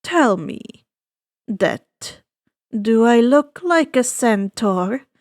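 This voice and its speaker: A woman reading a story aloud and voicing a suave, sinister male ferryman of the dead with a smooth, slow, drawn-out delivery. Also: fairly high pitch, lively intonation, darkish tone, no room sound, studio quality, exaggerated voice. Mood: silkily amused. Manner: slow, drawn-out, dryly polite.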